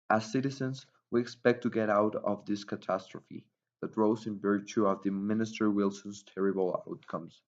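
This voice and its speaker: A man's voice: fairly low pitch, a little mellow. A man reading a formal, wordy scripted news report as a newsreel reporter. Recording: dull lo-fi voice recording.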